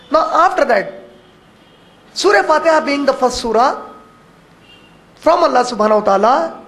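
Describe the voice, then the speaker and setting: Very high-voiced man teaching Quran. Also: fairly bright tone. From India. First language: Urdu. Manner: measured delivery, rushing through honorific phrases. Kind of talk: religious lecture.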